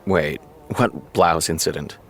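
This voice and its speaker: A man's voice: very deep voice, slow, heavily acted. A man playing a sardonic, stubborn television boss in an audio drama, dry and wordy as he grudgingly concedes points. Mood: dry, resigned. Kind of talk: naturalistic audio drama acting.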